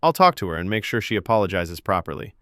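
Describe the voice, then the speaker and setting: Male narrator as young drama student: composed, protective, casual delivery, lowish voice, lively inflection. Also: fast, no room sound, studio quality, exaggerated voice. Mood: reassuring. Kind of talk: acted audiobook dialogue.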